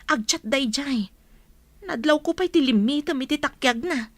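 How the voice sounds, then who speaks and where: A woman's voice: sits high, expressive, normal speed, fairly bright tone, no room sound. A woman with an Ilocano accent playing a worried, watchful young friend in a radio drama. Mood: concerned.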